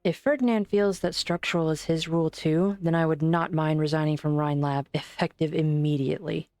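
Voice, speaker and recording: A woman voicing a calm, formal doctor in an amateur fan dub, polite but firm. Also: sits low, a little fast, dry recording, studio quality. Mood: steady.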